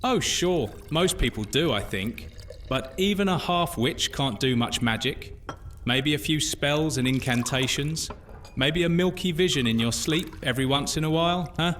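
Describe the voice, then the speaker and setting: A man's voice: on the high side, moderate pace, a bit bright, slight background noise, natural voice. An actor playing a curious, chatty half-witch newcomer in an audio drama, inquisitive and informal. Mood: chatty, amused. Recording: music under the voice.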